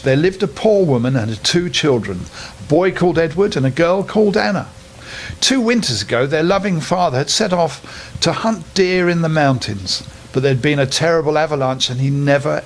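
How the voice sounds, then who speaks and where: An older man with a slightly high-pitched voice reads a children's story at bedtime. He tells it expressively and without hurry, leaning into the drama as a storyteller would for young listeners. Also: slight background noise.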